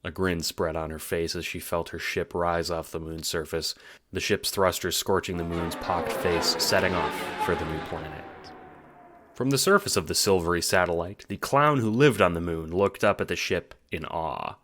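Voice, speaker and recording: A man with a very low voice narrates an audio drama. He reads scripted storytelling prose as the narrator of the tale. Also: normal speed, fairly bright tone.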